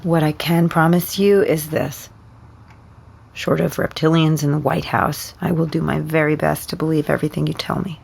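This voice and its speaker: A woman playing a caring, steady counselor with dry humor, speaking in a measured, gently probing way in an audio drama. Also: sits low, creaky, husky, heavily acted. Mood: earnest, reassuring. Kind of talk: naturalistic audio drama acting.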